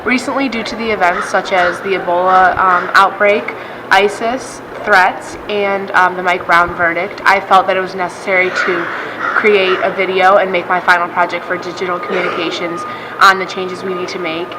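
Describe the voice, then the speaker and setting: Student host, young woman, earnest and slightly hesitant; lowish voice over background noise. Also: steady tone, normal speed. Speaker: student video maker, introducing her project. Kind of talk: introduction to camera.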